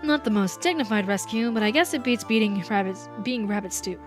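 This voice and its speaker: A woman's voice: mid-range voice, expressive, fairly quick, over music, natural voice. Woman doing a grumpy rabbit prince. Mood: sour. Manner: grumpy, sarcastic, proud. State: hoarse. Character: sulky snide male rabbit prince, cartoon.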